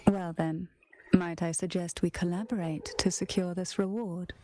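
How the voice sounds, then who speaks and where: A woman's voice: on the low side, steady tone, moderate pace, a little mellow, slight background noise, natural voice. A woman voicing a playful, mysterious young alchemist in a video game, with elegant, theatrical phrasing. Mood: teasing, alluring. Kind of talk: video game story dialogue.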